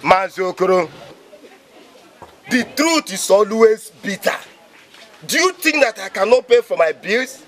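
Proud needling nigerian village buddy, really high animated voice, film comedy. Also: male voice, a little slow, long pauses. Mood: defiant, proud. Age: middle-aged. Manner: taunting, emphatic. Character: needling, stubborn old village friend, proud and teasing.

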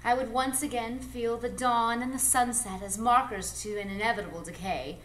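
A woman playing an alluring, ageless, mysterious adventuress in a live radio drama, speaking in a measured, coy, theatrical way. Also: on the high side, steady tone, a bit bright, close to an everyday voice. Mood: wistful. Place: live performance space before an audience. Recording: noisy live stage recording.

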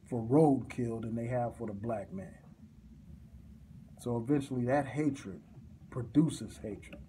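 Slow, dark-voiced man preaching to camera. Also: medium pitch, slight background noise. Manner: forceful, repetitive, preaching cadence. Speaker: commentator. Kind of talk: impassioned political monologue to camera. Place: small indoor room.

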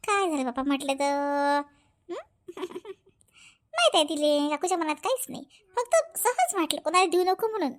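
A woman's voice: extremely high pitch, very animated, normal speed. A woman voicing a touchy, possessive middle-aged village aunt in a cartoon family comedy, nagging and repeating herself with a Varhadi Marathi accent. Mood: boastful, fussy. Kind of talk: amateur animated family comedy acting.

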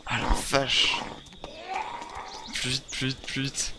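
Male gamer, mid-pitched, unhurried, improvised roleplay self-talk in short repeated phrases, very noisy recording. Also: monotone. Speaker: gaming video creator playing a survivor. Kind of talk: improvised first-person roleplay gameplay commentary. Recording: very noisy home recording, game sounds behind.

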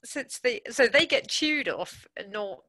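A woman's voice: a little high, lively intonation, unhurried, sharp tone, no echo. A woman moderating a casual webinar on a video call, speaking in a tentative, rambling way.

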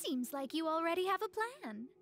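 High-voiced haughty young astrologer. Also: female voice, big pitch swings, moderate pace, over music, heavily acted. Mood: prim, composed. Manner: self-important, instructive, prim. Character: haughty young female astrologer, proud, self-styled master. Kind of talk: video game character acting.

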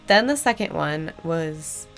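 A woman's voice: sits low, animated, slow, fairly bright tone, soft background music. A young woman co-hosting a casual home podcast, laid-back and giggly, recorded on a webcam mic in a room with a green curtain. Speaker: podcast co-host. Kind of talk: casual chat.

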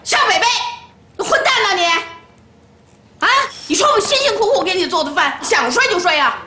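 A woman's voice: very high voice, big pitch swings, moderate pace, reverberant, heavy background noise. An actress playing a harsh, domineering older grandmother who nags and scolds sharply. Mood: furious scolding. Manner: nagging, scolding, sharp tongue. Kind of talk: TV drama acting.

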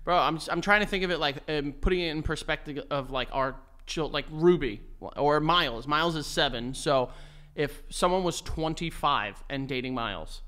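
A man's voice: fairly high pitch, normal speed, hardly any pauses, bright, forceful tone, clear, resonant tone. A man in his thirties co-hosting a podcast, talking casually and riffing with lots of swearing. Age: 30s. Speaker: podcast co-host. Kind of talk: casual chat.

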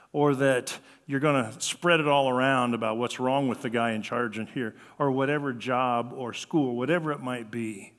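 An older American man preaching a conversational sermon, with an animated delivery that acts out quoted remarks. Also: mid-range voice. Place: church sanctuary at a lectern, indoors. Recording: reverberant hall.

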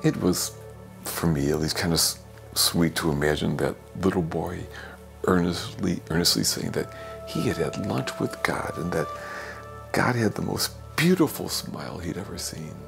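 An older man, a priest, giving a homily in a slow, gentle, reflective way, sometimes dropping to a soft whisper, from a small office. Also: deep voice, expressive, creaky, soft background music. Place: small office with bookshelves, indoors.

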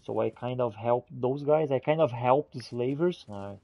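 A man giving casual in-character game commentary and reading notes aloud, halting and muttering as he goes. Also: medium pitch, highs cut off. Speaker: gamer roleplaying and narrating a playthrough.

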